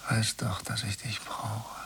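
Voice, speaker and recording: Whispering, creaky sleepless irritable count. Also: male voice, on the low side, flat delivery, slow pace, bright, forceful tone, heavily acted. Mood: pleading, weary. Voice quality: breathy, creaky half-whisper. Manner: muttering, impatient, pleading. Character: sleepless, irritable, needy count calling for his musician. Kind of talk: radio play acting.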